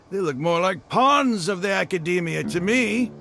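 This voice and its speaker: A man's voice: sits high, expressive, a little slow, gravelly, sharp tone, soft background music, full character voice. A man voicing an arrogant, zealous desert mercenary leader in a game cutscene, scornful and mocking.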